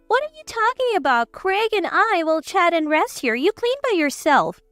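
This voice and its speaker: Woman playing a shrill, bossy mother-in-law. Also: sing-song, moderate pace, fairly bright tone, no echo, soft background music, heavily acted. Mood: cold, commanding. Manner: commanding, contemptuous.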